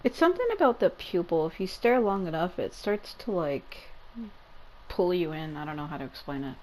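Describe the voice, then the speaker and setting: A woman giving casual, expressive, exclamatory gameplay commentary with plenty of swearing. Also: on the low side, moderate pace, a little hiss or hum, rough recording. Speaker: gaming streamer. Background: game music.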